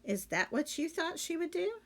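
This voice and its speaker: A woman teacher reading a storybook aloud to children expressively, pausing to put questions to her young listeners. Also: extremely high pitch, sing-song.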